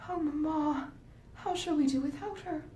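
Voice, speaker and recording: A woman voicing a romantic, earnest teenage girl from a Regency novel, with emphatic, drawn-out delivery. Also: warm tone, natural voice. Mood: plaintive. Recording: roomy echo, faint rumbling noise.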